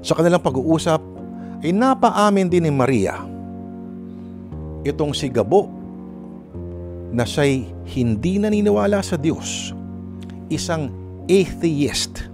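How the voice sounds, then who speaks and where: Man, radio drama host and narrator, very lively intonation, chatty, dramatic, music behind. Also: on the high side, slow pace, long pauses. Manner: chatty, dramatic, addresses listeners often. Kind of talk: conversational radio hosting and storytelling.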